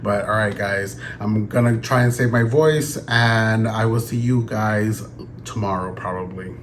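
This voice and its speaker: A man vlogging in a casual, rambling, joking way, his voice a little raspy and tired as he gets over an illness. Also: fairly low pitch, steady tone, measured pace, a little hiss or hum. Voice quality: slightly raspy. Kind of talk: casual vlog chat. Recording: background music.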